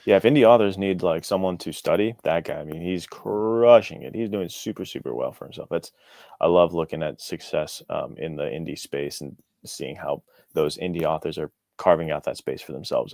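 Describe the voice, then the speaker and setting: A man's voice: extremely low pitch, a little mellow, dry recording, clean studio sound. A young American man talking as a podcast guest in a reflective, informal, loosely phrased way. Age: young adult. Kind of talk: casual interview chat.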